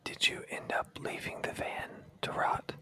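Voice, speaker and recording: A man whispering teasing, wry comments in casual chat. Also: unhurried.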